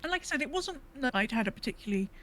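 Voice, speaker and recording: A woman chatting casually as a podcast host, telling stories in an animated way and acting out what people said. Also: high voice, rapid-fire. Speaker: veterinary clinic worker. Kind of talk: casual chat.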